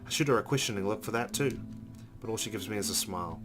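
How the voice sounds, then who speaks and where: A male let's play host with a lowish voice reads game narration aloud. He phrases it like a storyteller, with clear guitar music playing behind him. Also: fast talker. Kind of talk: reading visual novel narration aloud. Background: background music with guitar.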